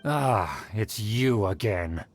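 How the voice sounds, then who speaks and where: Pompous, highly animated aristocrat. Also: male voice, faint music behind. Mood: haughty, appraising. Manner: haughty, formal, ornate diction with deliberate emphasis. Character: pompous male aristocrat clinging to old family glory. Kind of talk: video game character acting.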